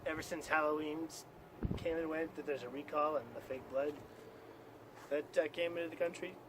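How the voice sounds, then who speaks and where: A man's voice: a little high, fairly even intonation, normal speed, noisy background. A man co-hosting a comedy show with profane, irreverent banter and mock news items. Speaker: co-host of a comedy video.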